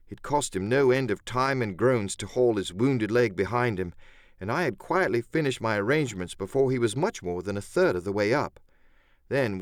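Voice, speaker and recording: Male narrator, medium pitch, dramatic scripted storytelling, literary phrasing, very dry recording. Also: no room sound. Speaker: audiobook narrator and voice actor.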